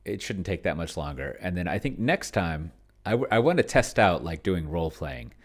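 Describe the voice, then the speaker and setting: A man's voice: sits low, very animated, normal speed. A man thinking aloud in casual commentary as he plays a roleplaying game, often muttering, trailing off and drawing words out while typing. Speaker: solo tabletop roleplayer and video host. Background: computer keyboard typing.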